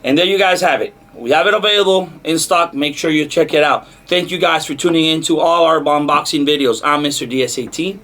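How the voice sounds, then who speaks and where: A man's voice: fairly high pitch, a little fast, few pauses, fairly bright tone. A man hosting a casual product unboxing, talking enthusiastically to viewers in short, punchy, repeated phrases. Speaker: host of product unboxing videos. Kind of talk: casual hype product demo to camera.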